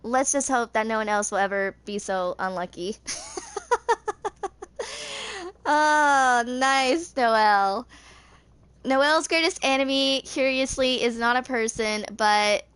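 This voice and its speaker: Clear, higher-pitched young woman reading aloud. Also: normal speed, few pauses, a bit bright, no room sound. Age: 20s. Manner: stumbles over words while reading. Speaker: gaming video creator. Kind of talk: reading game character lore aloud.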